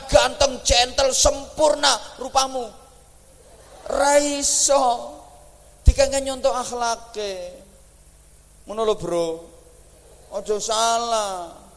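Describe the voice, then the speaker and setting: A man with an East Javanese accent, giving a humorous religious sermon in an animated, teasing way. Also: very high voice, gravelly. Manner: joking, animated, teasing the audience. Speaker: preacher. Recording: echoey hall.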